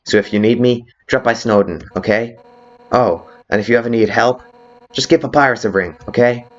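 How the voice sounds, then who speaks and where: A man voicing a brash, fierce female fish warrior with a soft side in a video game fan dub, blunt and cocky. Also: mid-range voice, moderate pace, highs cut off, close to an everyday voice. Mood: friendly.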